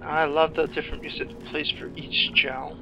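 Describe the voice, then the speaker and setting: A man's voice: on the high side, level tone, moderate pace, muffled recording. A man giving casual, playful commentary on a game playthrough with eager exclamations. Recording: game music loud behind voice.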